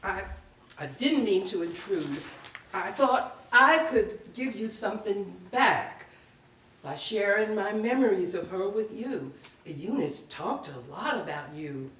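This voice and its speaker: Woman acting indignant condescending lady of the house, animated slow delivery, haughty, scolding, staged reading, roomy distant recording. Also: medium pitch, a little hiss or hum. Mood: wounded, pleading. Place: indoor live reading at a public library. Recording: echoey room, picked up from a distance.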